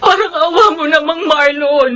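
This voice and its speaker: A woman's voice: high voice, moderate pace, a bit bright, soft background music. An actress playing a middle-aged grieving mother in a Filipino radio melodrama, pleading and crying through her words. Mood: anguished, demanding. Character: grieving mother demanding justice for her murdered daughter.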